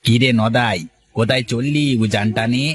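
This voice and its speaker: A man acts out a teasing elder fisherman in a cartoon. His voice sits at a medium male pitch, smug and chiding. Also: normal speed, close to an everyday voice. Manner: chiding, familiar. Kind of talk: Bengali cartoon story voice acting.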